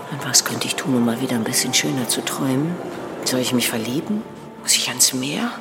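Low declamatory woman in long reverb acted. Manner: declamatory, repetitive. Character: female precarious culture worker reciting slogans and theory. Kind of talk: spoken-word performance. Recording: long hall reverb with loud music.

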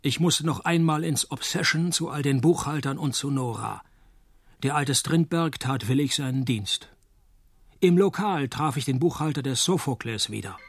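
An actor playing a wry, deadpan, hard-drinking male private detective in a radio play, speaking with dry, laconic wit. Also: mid-range voice, moderate pace, slightly rough, no echo. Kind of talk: radio play dialogue and first-person noir narration.

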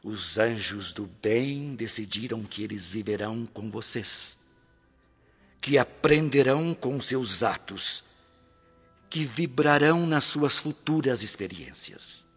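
A man acting a solemn, benevolent spirit guide who preaches forgiveness in a measured way in a radio drama. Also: mid-range voice, expressive, fairly bright tone, breathy edge, exaggerated voice. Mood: solemn, exhorting. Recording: muffled, long reverb, music behind.

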